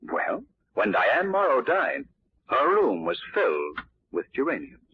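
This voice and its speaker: A man playing a suave, self-assured gentleman detective in 1940s radio drama, urbane and explanatory. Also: mid-range voice, huge pitch swings, a little slow, husky, heavily acted. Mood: amused, explaining. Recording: dull old 1940s radio recording.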